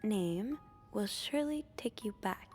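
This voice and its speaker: A woman whispering hushed, wry asides while playing a game. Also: medium pitch, lively intonation, a little slow, soft background music.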